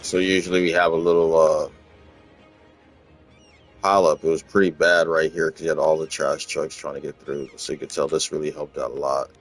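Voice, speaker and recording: Very deep-voiced relaxed gamer. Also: male voice, brisk, soft background music. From Los Angeles, USA. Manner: relaxed, rambling, repeats words, chuckles. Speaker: gaming channel host. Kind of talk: casual gameplay walkthrough commentary.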